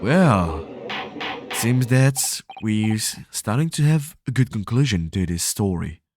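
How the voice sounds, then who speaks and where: A man streaming a game, reading the dialogue aloud at a deliberate pace, then chatting playfully to his viewers. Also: fairly low pitch, animated, noisy background. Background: game music.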